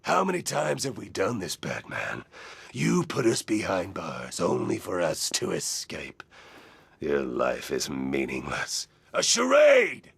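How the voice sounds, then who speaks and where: Deep, gravelly, vengeful villain. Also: male voice, wildly swooping pitch, slow pace, fairly bright tone, no echo, exaggerated voice. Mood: scornful rage. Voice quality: gravelly, rasping. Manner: theatrical, menacing. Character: bitter, vengeful, gravel-voiced male crime boss villain. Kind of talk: video game villain dialogue.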